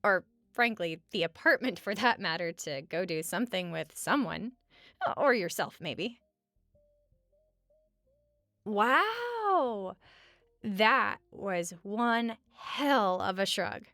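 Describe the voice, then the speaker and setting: Casual, creaky-voiced sarcastic roommate, played by a woman. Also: mid-range voice, expressive, pushed and bright, no room sound, soft background music, heavily acted. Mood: wry teasing. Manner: casual, hesitant pauses, wry teasing. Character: sarcastic, teasing but caring young female roommate. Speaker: audio roleplay performer. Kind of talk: conversational comfort audio roleplay at regular speaking volume.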